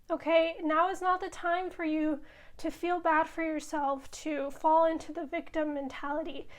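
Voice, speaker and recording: A woman giving a casual tarot reading, a little hoarse from losing her voice, reassuring her viewers with frequent "okay?". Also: high-pitched. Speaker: tarot reader.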